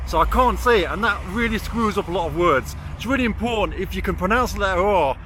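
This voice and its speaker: Bright-voiced Englishman vlogging, a touch high. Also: lively intonation, fast talker. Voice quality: cannot roll or pronounce R clearly. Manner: blunt, self-deprecating, swears casually. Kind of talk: casual walk-and-talk vlog. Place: outdoors on city streets. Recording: outdoor city and traffic noise.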